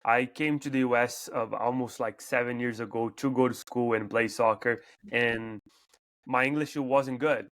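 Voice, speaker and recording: A young man from Brazil hosts a relaxed podcast interview in English, his second language, with a clear Brazilian accent. His voice sits at an ordinary middle pitch for a man. He talks haltingly, doubling words and restarting sentences as he gathers his thoughts. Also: no room sound. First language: Portuguese. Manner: halting, repeats words while searching for phrasing. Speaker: podcast host. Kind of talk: casual interview chat.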